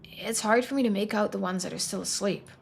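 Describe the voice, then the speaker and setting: A woman voicing a troubled young man trapped in his town, speaking haltingly and sometimes in a near whisper, for a story game. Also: medium pitch, creaky, a little hiss or hum, natural voice. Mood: anxious, haunted.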